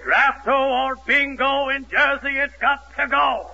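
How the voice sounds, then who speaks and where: An actor playing a pompous, clueless, self-righteous reformer who declares things stubbornly in 1940s radio comedy. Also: male voice, very high voice, fairly even intonation, slow, creaky, pushed and bright, heavily acted. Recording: muffled, noisy 1941 radio broadcast.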